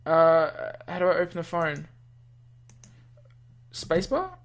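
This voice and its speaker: A gamer reading a visual novel aloud with casual, easily amused commentary, thinking out loud. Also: medium pitch, animated, slow pace. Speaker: gamer, channel host. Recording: music under the voice.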